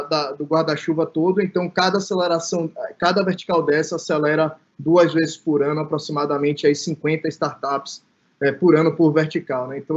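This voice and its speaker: A man with a Brazilian accent from Bahia, speaking informally as a guest in an online panel, often adding short check-in tags. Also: a little high. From Salvador, Brazil. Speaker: innovation manager, guest speaker. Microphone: online call. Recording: dull, lo-fi online call audio.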